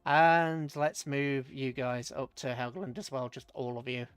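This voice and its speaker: A man's voice: mid-range voice, steady tone, measured pace, smooth, dry recording. A British man casually commentating a strategy game on a livestream, chatting with viewers and muttering rambling asides to himself as he plays.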